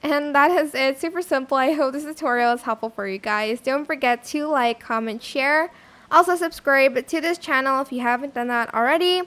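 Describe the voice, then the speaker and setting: A woman with a notably high-pitched voice narrates a software tutorial as its host. Her delivery is casual and conversational, with informal phrasing as she explains things step by step. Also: moderate pace.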